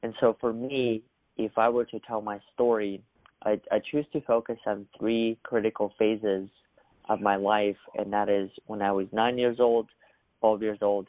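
A young man from Russia, a podcast guest telling his story in a measured, earnest way. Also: lowish voice, dry recording. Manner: measured, earnest, occasional restarts. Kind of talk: reflective storytelling. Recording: dry, phone-like narrow sound.

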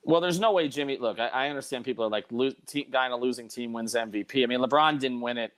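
Male host, medium pitch, casual sports chat, opinionated and emphatic delivery. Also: quick pace, fairly bright tone. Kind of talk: casual sports talk with sponsor plugs.

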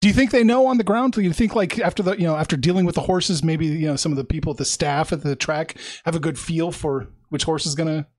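A man hosting a podcast, asking quick, jokey questions in a casual chat. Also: a little high. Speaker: podcast host.

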